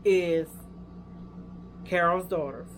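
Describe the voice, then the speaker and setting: A woman's voice: fairly low pitch, slow pace, background noise. A young woman with a Louisiana accent, casually reviewing hair products and chatting straight to her viewers with lots of fillers. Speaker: hair care vlogger.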